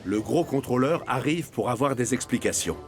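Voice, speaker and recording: A man's voice: mid-range voice, lively intonation, moderate pace. A man reading scripted storytelling narration for a children's cartoon. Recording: music behind narration.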